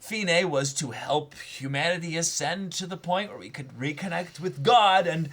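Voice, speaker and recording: A man co-hosting a reaction show, casually thinking out loud and spinning speculative theories. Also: on the high side, normal speed. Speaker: co-host of an anime reaction show. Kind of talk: casual discussion.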